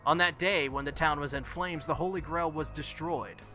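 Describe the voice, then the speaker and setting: A duty-bound young warrior queen read by a man, formal and measured. The voice is bright, with music behind the visual novel dialogue. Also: a little high. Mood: firm, grave.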